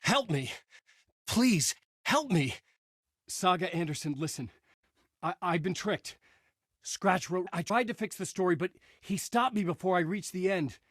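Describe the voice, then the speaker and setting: A man's voice: high-pitched, lively intonation, measured pace, a bit bright, no room sound, clean studio sound, heavily acted. A man playing a haunted, brooding writer hero in a video game, speaking intense noir lines in short clipped phrases. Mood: desperate, pleading. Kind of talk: noir scripted narration and dramatic game dialogue.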